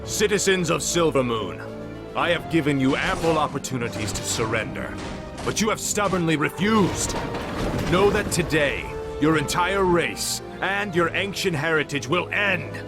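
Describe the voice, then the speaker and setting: An actor playing a cold, arrogant fallen prince turned undead death knight, menacing and formal, in a fantasy game cutscene. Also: male voice, a little high, expressive, moderate pace, music in the background. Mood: imperious, threatening.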